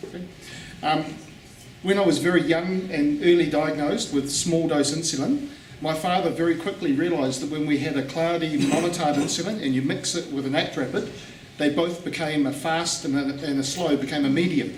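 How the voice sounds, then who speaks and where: A man giving a relaxed conference talk, repeating words for emphasis, with pauses for an interpreter. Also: fairly high pitch. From New Zealand. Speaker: guest speaker. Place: conference hall. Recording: echoey hall, distant mic, faint noise.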